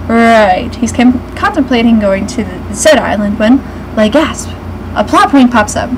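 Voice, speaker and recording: A woman giving a mocking dramatic reading with snarky asides, halting and stumbling over the words. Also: a little high, animated. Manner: sarcastic, halting delivery with frequent stumbles. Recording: noisy home recording with clear background hum.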